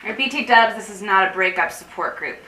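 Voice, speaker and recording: An actress in a staged reading playing an anxious, wry, guarded support group organiser, rambling and awkwardly correcting herself. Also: female voice, medium pitch, fairly quick, pushed and bright, close to an everyday voice. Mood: guarded, prying. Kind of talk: staged play reading, naturalistic dialogue. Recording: roomy, distant mic with faint noise.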